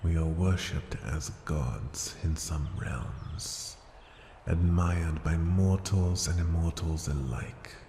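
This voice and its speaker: A man voicing a haughty, teasing ancient dragon in human form for an ASMR fantasy roleplay, gravelly and breathy, with condescending, seductive murmurs. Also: low-pitched, mellow, exaggerated voice. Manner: condescending, taunting, drawn-out phrases dipping into breathy murmurs. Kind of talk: ASMR fantasy roleplay, slow theatrical delivery. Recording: echoing reverberant hall, background ambient noise.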